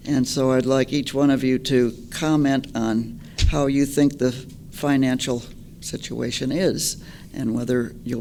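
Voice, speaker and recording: A man with a medium-pitched voice moderates a public forum and puts questions to the speakers. There is faint noise in the live recording. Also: vocal fry, dark tone. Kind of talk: reading out audience questions.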